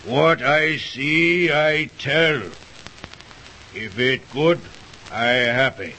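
An actor playing a solemn native fortune-teller who speaks halting broken English, in an old radio drama. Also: male voice, a little high, pushed and bright, a little hiss or hum, exaggerated voice. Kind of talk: 1930s radio drama acting.

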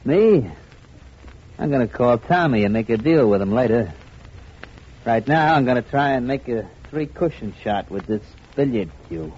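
A man's voice: medium pitch, lively intonation, moderate pace, a little mellow, slight background noise, natural voice. An actor playing a brash, swaggering male gangster boss who talks cocky slang, in an old radio drama. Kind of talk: 1940s radio acting. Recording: dull lo-fi old radio recording.

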